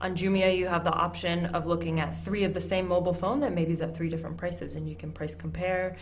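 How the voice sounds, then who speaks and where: A woman's voice: on the low side, flat delivery, quick pace. A woman, a country manager of an online shop, in a TV business interview, talking in an upbeat, fluent way with fillers. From United States.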